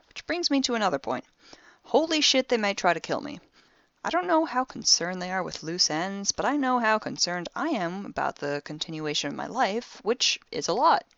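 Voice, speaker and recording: A woman's voice: mid-range voice, vocal fry, fairly bright tone, dry recording, muffled recording. A woman playing a wry, impulsive young amateur detective, recording a spoken log in an audio drama with sardonic, theatrically dry conversational delivery. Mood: anxious, flippant.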